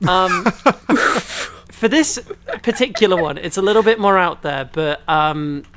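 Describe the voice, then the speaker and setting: Higher-pitched man chatting on a podcast. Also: a little slow, background noise. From Belgium. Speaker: podcast co-host. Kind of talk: casual podcast chat.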